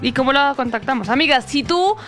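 A woman's voice: sits high, expressive, fairly quick, fairly bright tone, faint music behind. A woman chatting casually on air as a radio co-host. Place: radio studio.

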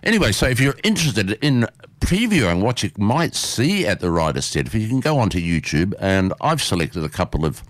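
A man's voice: fairly low pitch, very animated, fairly quick, no room sound. A man hosting community radio from a studio, chatting casually in a folksy, rambling way. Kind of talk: casual chat, interviewing a studio guest. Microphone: close studio microphone.

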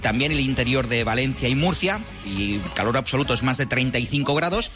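A man's voice: mid-range voice, animated, fairly quick. Informal Spanish weatherman over music. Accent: Spanish from Spain. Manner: colloquial, playful diminutives. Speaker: radio weather forecaster. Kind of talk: informal live weather report. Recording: music bed under the voice.

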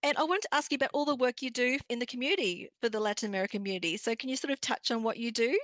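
A woman from Colombia interviewing a guest with friendly, brisk questions. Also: on the high side, a bit bright, no room sound.

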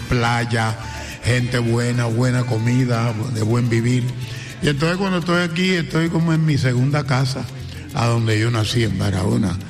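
Earnest Dominican man, radio guest. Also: mid-range voice. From Dominican Republic. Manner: earnest, effusive, grateful, speaks of blessings. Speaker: guest singer. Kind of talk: radio interview. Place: radio studio.

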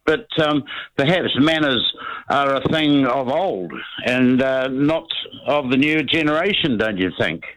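A man's voice: mid-range voice, animated, unhurried, a bit bright, dry recording, rough recording. Regular male caller, New Zealand accent, mid voice, dry sound, sardonic. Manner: dryly sardonic, hesitant with ums. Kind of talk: casual phone-in chat.

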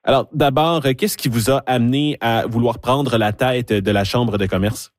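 A man hosting a radio interview, speaking in a measured way with frequent hesitations. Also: medium pitch, dry recording, clean studio sound. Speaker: radio show host. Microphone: studio microphone.

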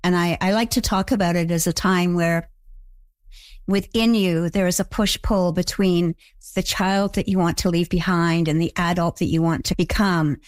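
A woman being interviewed, answering in a thoughtful, measured way and slowing on key phrases. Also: fairly low pitch, fairly even intonation, no room sound.

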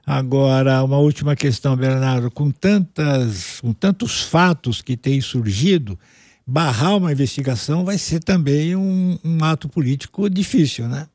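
A man's voice: on the high side, moderate pace, a little mellow, no room sound, clean studio sound. A male radio news anchor asking a correspondent short, thought-out questions.

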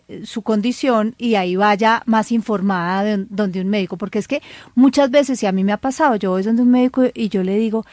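A woman's voice: on the high side, no echo, clean studio sound. A woman hosting an interview, friendly and affirming as she guides the talk. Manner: friendly, affirming, frequent "perfecto" and "claro". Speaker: host.

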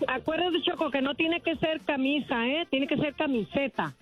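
Female phone-in contestant, high but boozy-husky, casual and chatty, dull lo-fi line. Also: moderate pace. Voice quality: husky, boozy-sounding thick voice. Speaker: caller contestant.

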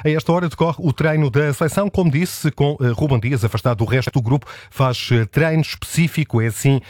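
A man presenting the radio news from a studio, measured and pausing often on fillers. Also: on the high side, a little mellow, dry recording. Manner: measured, with frequent hesitation fillers. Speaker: news anchor. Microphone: studio microphone.

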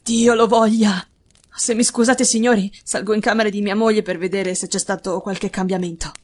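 A woman's voice: mid-range voice, steady tone, normal speed, darkish tone, natural voice. A woman reader voicing a distraught, burly husband, earnest and emphatic, in an audiobook story. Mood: anxious. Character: distraught, burly rugby-playing husband and master.

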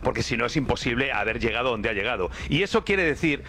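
Male sports pundit, Castilian accent, medium pitch, builds arguments with lists and restatements. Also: lively intonation, fast, pushed and bright, slight background noise. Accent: Castilian Spanish from Spain. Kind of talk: unscripted football debate.

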